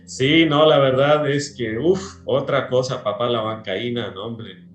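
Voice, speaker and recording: A man from Peru, a pastor, chatting in an interview, slow and hesitant with many fillers. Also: medium pitch, roomy sound, soft background music. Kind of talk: casual interview chat.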